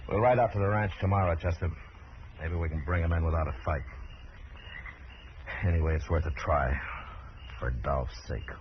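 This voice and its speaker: An actor playing a steady, principled American frontier marshal in an old-time radio drama, speaking tersely with measured authority. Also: male voice, deep voice, natural voice. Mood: firm, resolved. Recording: dull, noisy old radio recording.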